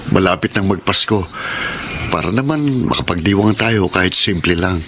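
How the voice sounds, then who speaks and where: A man's voice: sits low, animated, normal speed, background noise. An actor playing a dutiful, troubled young Filipino father, polite and deferential, in a radio drama. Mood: polite, earnest.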